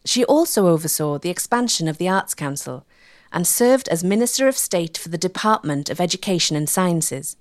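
A woman's voice: on the low side, expressive, normal speed, dry recording, clean studio sound. A woman delivering scripted documentary narration as the narrator.

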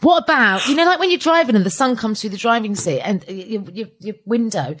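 A woman's voice: on the high side, lively intonation, a little fast, fairly bright tone. A middle-aged woman hosting a podcast, telling frank anecdotes in casual chat. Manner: chatty, storytelling, frank. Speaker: podcast host.

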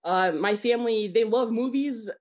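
A woman's voice: on the high side, moderate pace, a little mellow, dull audio. An American woman, a guest telling stories in an enthusiastic, casual way and laughing easily. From United States. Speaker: podcast guest. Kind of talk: casual interview chat.

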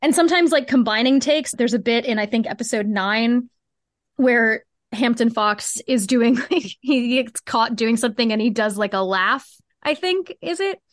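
High-voiced woman explaining her creative craft. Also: normal speed, dry recording, studio quality. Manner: explanatory, informal, peppered with "like" and "cool". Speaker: podcast guest, audio drama co-creator. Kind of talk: casual interview conversation about craft.

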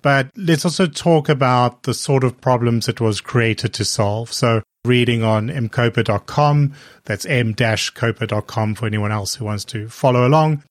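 A man's voice: mid-range voice, dry recording, clean studio sound. A man hosting a podcast, reading a polished opening and then asking curious, articulate questions. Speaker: podcast host. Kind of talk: scripted intro and interview questions.

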